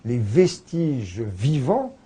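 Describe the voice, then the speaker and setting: A man's voice: medium pitch, sing-song, very slow, mellow, husky. A man speaking as a scholarly interview guest, explaining conversationally in a discursive, emphatically agreeable way.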